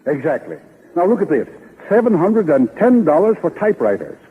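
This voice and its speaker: Exasperated office manager, highish raspy voice, 1930s radio acting, echoing old broadcast. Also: male voice, sing-song, fairly quick, heavily acted. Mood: fretful. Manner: fretful, agitated. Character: anxious, exasperated male business manager. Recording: reverberant, muffled 1930s radio recording.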